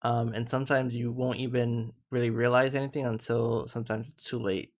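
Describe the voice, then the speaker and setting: A man's voice: mid-range voice, little pitch movement, brisk. A man in his thirties hosting a casual live panel discussion, earnest and reflective, often restarting his sentences. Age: 30s.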